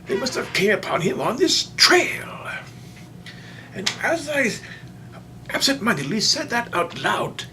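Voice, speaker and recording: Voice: mid-range voice, sing-song, noisy background. A performer voicing a doddering, folksy old man who rambles and stammers through a comic storytime monologue. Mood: dramatic, earnest. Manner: rambling, halting, stammering old-timer delivery. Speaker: storyteller, show host.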